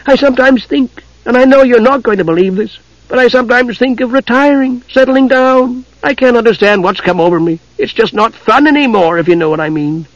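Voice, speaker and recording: A man's voice: very high voice, fairly quick, dry recording, highs cut off, voice pushed up. A man voicing a small, naive, chatty kender adventurer who rambles eagerly, in a fantasy story reading. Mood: confiding, wistful.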